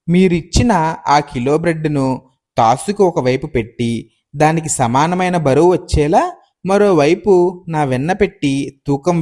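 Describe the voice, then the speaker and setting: A man's voice: a little high, darkish tone. An actor playing a poor, humble and honest village milkman in a moral story. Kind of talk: acted dialogue in a moral story.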